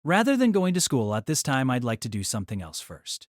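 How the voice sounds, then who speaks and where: A man's voice: medium pitch, huge pitch swings, brisk, no echo, studio quality. A man voicing an earnest, polite and hesitant young student in an audio drama. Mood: nervous, earnest. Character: earnest, hesitant teenage student speaking to his grandparents.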